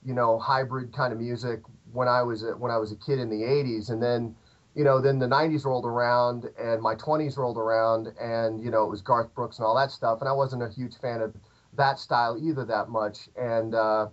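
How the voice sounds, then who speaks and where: Man, 30s, southern Illinois accent, meandering chat, mid pitch, lo-fi recording. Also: steady tone, brisk. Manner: rambling, lots of fillers and restarts. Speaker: podcast guest, musician. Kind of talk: casual interview chat. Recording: dull lo-fi podcast audio.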